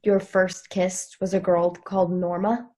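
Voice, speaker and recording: A woman's voice: lowish voice, little pitch movement, a little slow, warm tone, slightly rough. A woman playing a frank, teasing yet supportive young woman in a TV drama read, conversational in delivery. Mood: teasing, blunt.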